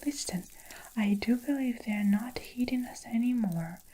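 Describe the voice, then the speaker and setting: A woman's voice: unhurried, warm tone, low-quality recording. A woman voicing a brave young boy in a whispered storybook reading. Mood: hushed. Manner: whispered, slightly raised pitch. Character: brave young boy leading his friends.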